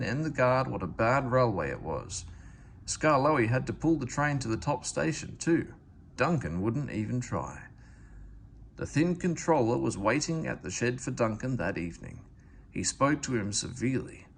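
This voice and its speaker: A man reading a children's story aloud with expressive narration. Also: medium pitch, vocal fry, slight background noise. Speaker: storybook reader.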